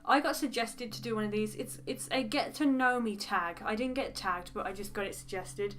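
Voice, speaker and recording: A young woman with a West Midlands accent talks to the camera in a chatty, rambling way, full of frank little asides. Her voice sits a little on the high side. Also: moderate pace, fairly bright tone, slight background noise. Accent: West Midlands English. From English Midlands. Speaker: beauty vlogger. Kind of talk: casual get-to-know-me chat.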